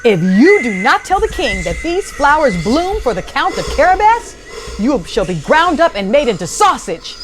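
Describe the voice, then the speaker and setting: A woman voicing a cunning, bold, flattering trickster cat in a theatrical storybook read-aloud for children. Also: high voice, moderate pace, music in the background, voice pushed up. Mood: threatening.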